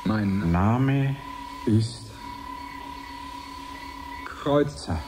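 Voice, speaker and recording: An actor playing a dazed test subject in a radio play, speaking in halting, dreamy fragments. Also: male voice, lowish voice, lively intonation, music in the background. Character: dazed male test subject waking as another man.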